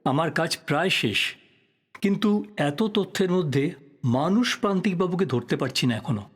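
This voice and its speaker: A man playing a thoughtful, polite writer probing a death in an audio drama, speaking in a measured, reflective way. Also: fairly high pitch, lively intonation, slightly rough. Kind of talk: Bengali audio drama acting.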